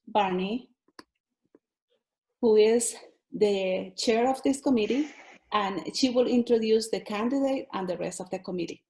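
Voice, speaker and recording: A woman's voice: medium pitch, darkish tone, rough recording. A woman moderating an academic session over a video call, giving formal procedural announcements. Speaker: defense moderator.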